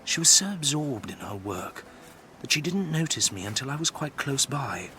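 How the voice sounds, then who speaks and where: A man playing an earnest, courteous young Victorian gentleman with an English accent, in a period radio drama. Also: medium pitch, big pitch swings, normal speed, a little hiss or hum. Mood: grave, reflective. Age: young adult. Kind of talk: period drama acting with measured first-person narration.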